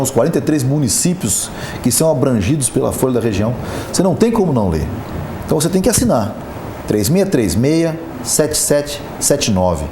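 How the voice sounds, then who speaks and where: A man hosting a casual business talk show, chatty and lively as he introduces the guest and plugs sponsors. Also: mid-range voice. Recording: echoey studio with background noise.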